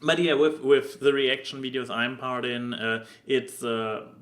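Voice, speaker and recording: A man hosting a casual podcast discussion, talking haltingly and often stammering or repeating words as he goes. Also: medium pitch, fairly even intonation, a bit bright. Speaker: podcast host.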